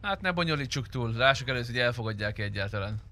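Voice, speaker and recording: A man chatting casually while roleplaying in a game, blunt and quick to swear. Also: mid-range voice, lively intonation, bright, forceful tone. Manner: blunt, foul-mouthed.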